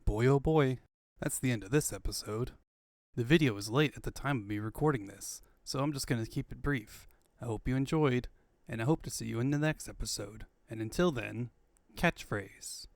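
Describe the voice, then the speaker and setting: A man's voice: mid-range voice, moderate pace, no echo, clean studio sound. A man speaking casually as the host of a comic fandub, in a loose, off-the-cuff way. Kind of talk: casual sign-off and outtake chat.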